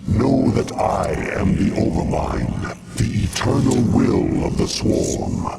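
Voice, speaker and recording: A man voicing an ancient, godlike alien hive mind for a video game, grandiose and commanding, with solemn archaic phrasing and a gravelly voice. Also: fairly low pitch, animated, very noisy, full character voice. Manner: solemn, slow, archaic pronouncements. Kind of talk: video game villain monologue.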